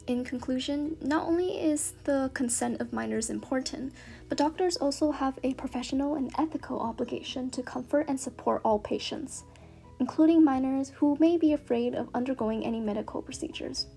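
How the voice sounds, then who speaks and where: A young woman from Canada reading a personal essay aloud in a measured, earnest way. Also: sits high, vocal fry, dark tone, soft background music.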